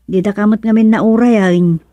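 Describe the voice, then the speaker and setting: Woman voicing comic radio-drama wife, chatty, scolding, mid pitch, dry recording. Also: steady tone, moderate pace, dark tone, no echo, close to an everyday voice.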